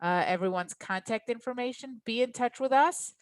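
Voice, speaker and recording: Clear-voiced woman hosting a webinar. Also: on the high side, moderate pace, no room sound. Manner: appreciative, encouraging. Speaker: webinar host. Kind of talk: warm closing remarks to attendees. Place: online webinar.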